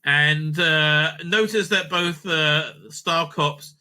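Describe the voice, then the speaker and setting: A man's voice: a little high, drawn-out words, pushed and bright. A man giving casual, unscripted online commentary, sweary, sarcastic and exasperated in manner. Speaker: online commentator reviewing audio drama releases.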